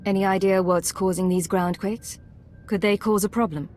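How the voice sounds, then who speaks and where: A woman's voice: mid-range voice, steady tone, normal speed, darkish tone, faint music behind, lightly acted. A woman playing a controlled, authoritative imperial secret agent in video game dialogue. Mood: cool, inquiring. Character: cool, commanding female imperial secret agent.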